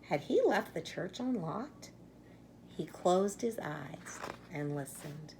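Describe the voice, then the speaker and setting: A woman reads a children's storybook aloud at a very slow pace, in a slightly low voice with a creaky edge. She is expressive, leaning on key words and pausing for young listeners. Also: slight background noise. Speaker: storybook reader.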